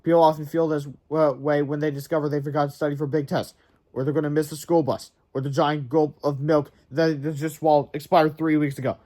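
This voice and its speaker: A man's voice: a little high, level tone, a little fast, mellow. A man narrating a storybook aloud in a dramatic way, with casual asides.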